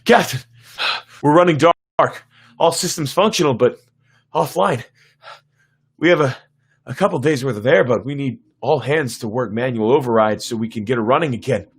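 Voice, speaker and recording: A man playing a grim, cautious starship commander in a sci-fi dramatic reading, serious and urgent, with a husky voice. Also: mid-range voice, normal speed, pauses often. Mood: alarmed.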